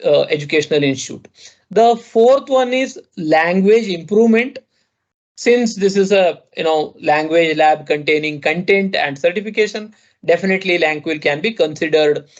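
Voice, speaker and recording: A man with an Indian English accent presenting an online product demo, talking haltingly with many restarts and "you know" fillers. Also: fairly high pitch. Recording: dull lo-fi audio.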